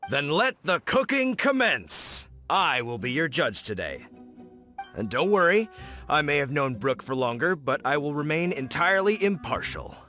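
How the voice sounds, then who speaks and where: A man's voice: on the high side, big pitch swings, moderate pace, sharp tone, faint music behind. A man voicing a formal, polite cooking judge in a game. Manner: formal, stately. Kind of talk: game voice acting.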